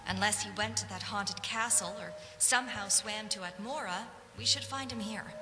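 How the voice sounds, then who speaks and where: Bright-voiced noble Imperial lady played by a woman. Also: mid-range voice, moderate pace, soft background music, close to an everyday voice. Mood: brisk, impatient. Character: noble Imperial lady, patriotic companion adventurer. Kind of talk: video game companion dialogue.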